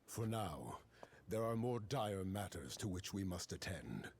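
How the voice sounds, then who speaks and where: An actor playing a steadfast warrior prince of the gods in a video game, grave and formal. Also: male voice, sits low, big pitch swings, unhurried, creaky, darkish tone, exaggerated voice. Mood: solemn. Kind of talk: video game cutscene acting. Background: noisy ambience.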